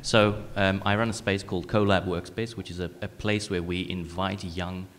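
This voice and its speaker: A man's voice: sits low, steady tone, normal speed, crowd noise. A man from South Africa who runs a co-working space, giving informal remarks from the audience at a conference. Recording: echoey hall with long reverb.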